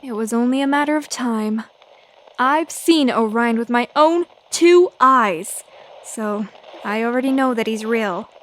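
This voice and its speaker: A woman's voice: fairly high pitch, natural voice, low-quality recording. An actress playing a feisty, easily flustered young woman reporter in a 1940s-style radio drama. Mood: defensive. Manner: brash, quick to get flustered. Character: feisty young female newspaper reporter, flustered but defiant. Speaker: reporter filling in as radio host.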